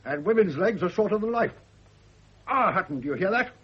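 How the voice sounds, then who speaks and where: A man's voice: sits high, expressive, fairly quick, husky. A man playing a domineering old British general in a radio drama, blunt, bossy and full of opinions. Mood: opinionated. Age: older. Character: crotchety, domineering old retired general and father. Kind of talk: 1970s radio drama acting. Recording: dull lo-fi old radio recording.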